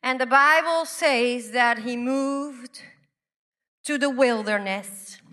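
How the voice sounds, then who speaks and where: A woman from Belgium preaching a sermon, repeating phrases and swinging from loud declarations to hushed whispers. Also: high voice. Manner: slow, deliberate delivery with repeated phrases.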